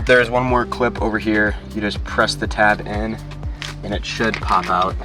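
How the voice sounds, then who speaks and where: A man's voice: lowish voice, fairly even intonation, a little slow, music in the background. A man hosting a DIY car tutorial, giving plain, informal step-by-step instructions in a casual how-to style.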